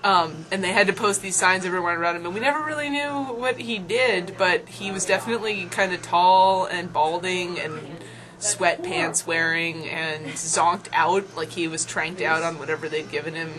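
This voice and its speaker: A woman's voice: lowish voice, normal speed, a crowd in the background, low-quality recording. A woman of twenty-five answering interview questions casually, hesitant at first and then thoughtful.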